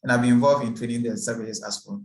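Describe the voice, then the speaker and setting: A man speaks at a mid pitch with a flat contour. His voice echoes around the room over a video call. He explains steadily, with the odd filler. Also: fast talker. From Ghana. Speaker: research staff. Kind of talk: self-introduction on a video call.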